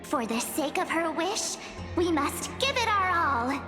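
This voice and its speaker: Super high cutesy game sprite, squeaky n pleading, slow delivery, loud music behind. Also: female voice, very animated, exaggerated voice. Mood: earnest, insistent. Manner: earnest, pleading. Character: cute, clingy childlike memory sprite, female, insistent and pleading. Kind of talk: game voice acting, dramatic dialogue. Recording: long echoey reverb, music behind.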